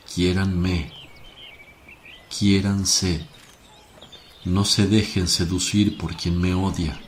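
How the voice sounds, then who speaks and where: A man acts out a grave and gentle sorrowful teacher in a religious radio play. The voice is very low and slow, measured phrase by phrase, with faint birdsong behind it. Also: a little hiss or hum. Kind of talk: devotional radio play acting. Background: birdsong and outdoor ambience.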